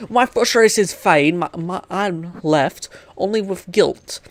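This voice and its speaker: A man's voice: high-pitched, takes it slowly, no room sound. A man hosting a gaming video, chatting casually and reading game text aloud, often stumbling over the words as he goes.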